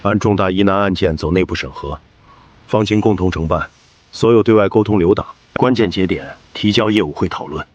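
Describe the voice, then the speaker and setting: A man playing a cautious, officious law-firm colleague in an animated drama, businesslike and admonishing. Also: low voice, a little fast, muffled recording.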